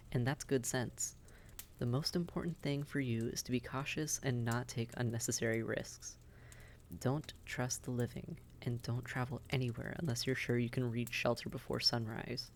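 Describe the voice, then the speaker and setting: A friendly vampire innkeeper acted by a man talks straight to the listener like a seasoned guide in an audio roleplay. His voice is on the high side for a man in neither pitch nor tone, sitting at an ordinary middle pitch with plenty of gravelly creak. He is chatty and reassuring, with faint noise behind him. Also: lightly acted. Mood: earnest, cautioning. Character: friendly, worldly old-hand vampire innkeeper, reassuring male. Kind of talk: roleplay audio drama, speaking directly to listener.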